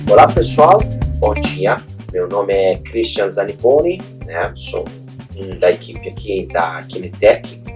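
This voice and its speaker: A man from Italy with an Italian accent, hosting a live webinar in a casual, halting way with frequent fillers. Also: deep voice, expressive, over music. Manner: frequent tag questions, hesitant fillers. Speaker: host.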